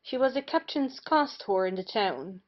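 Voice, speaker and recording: A woman's voice: a little high, lively intonation, normal speed, natural voice. A woman playing a humiliated, bitter parson and wronged husband in an old stage comedy, theatrical in delivery. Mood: indignant. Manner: theatrical lamenting. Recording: muffled lo-fi audio.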